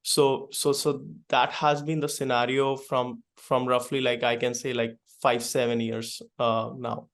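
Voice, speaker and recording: A man's voice: medium pitch, level tone, a little slow, hardly any pauses, fairly bright tone. A man answering interview questions hesitantly, with many filler sounds. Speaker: guest.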